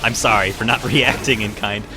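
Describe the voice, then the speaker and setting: Man, fairly low voice, casual joking game commentary, music clearly behind. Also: steady tone, fairly quick. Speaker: gameplay co-commentator. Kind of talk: casual joking let's play chat.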